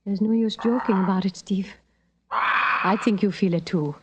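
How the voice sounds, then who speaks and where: An actress playing an uneasy, brooding young woman in a vintage radio drama, with hushed, earnest, poetic delivery. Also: medium pitch, moderate pace, heavy background noise, lightly acted. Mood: foreboding. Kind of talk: 1940s-50s radio drama acting.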